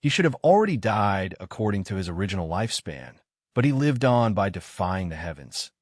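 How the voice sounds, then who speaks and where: A man narrating a fantasy novel in a steady, dramatic manner. His voice sits low and is recorded dry and close. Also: fairly quick, no echo. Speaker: audiobook narrator.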